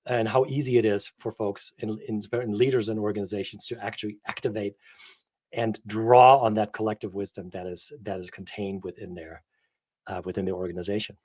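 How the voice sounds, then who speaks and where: A man's voice: lowish voice, flat delivery, brisk, darkish tone. A man from Germany who works as a leadership coach, co-hosting an earnest, enthusiastic chat with some uh fillers. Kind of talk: casual conversational chat.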